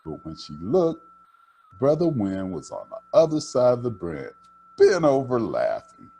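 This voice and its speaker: An older man reading a children's storybook aloud, with deliberate, dramatic phrasing. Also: mid-range voice, huge pitch swings, vocal fry, dark tone, husky, faint music behind. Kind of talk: expressive read-aloud storytelling.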